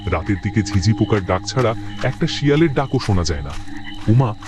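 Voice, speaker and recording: A man's voice: lowish voice, lively intonation, fairly quick, over music. A man narrating a horror cartoon story in a grave, suspenseful way.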